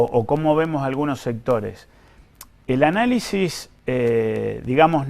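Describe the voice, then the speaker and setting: A man with an Argentine accent speaking as an official in an interview, explaining things in a careful, measured, technical way. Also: medium pitch, vocal fry. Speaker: government pension official being interviewed.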